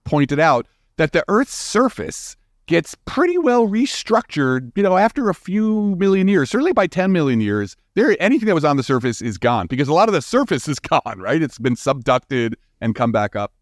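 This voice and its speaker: Animated man explaining, very lively pitch. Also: dry recording, studio quality. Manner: animated, informal, halting restarts with frequent 'you know'. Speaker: guest scientist. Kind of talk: conversational interview explanation.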